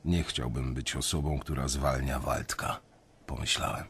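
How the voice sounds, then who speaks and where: An actor playing a weary young banker, narrating his story in a radio drama in a reflective, confiding way with a husky voice. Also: a bass voice, steady tone, measured pace, a bit bright, heavily acted. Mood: reflective, resigned.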